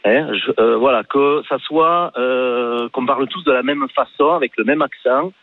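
A man with a southern French Toulouse accent, speaking haltingly as a radio caller over a phone line. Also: mid-range voice. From Toulouse, France. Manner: hesitant, halting. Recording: thin narrow phone line.

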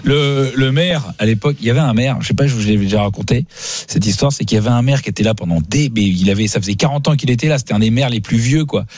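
A man hosting a radio show, speaking in casual slang with bantering, animated storytelling. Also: mid-range voice, quick pace, no room sound. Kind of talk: casual bantering talk and comic anecdotes.

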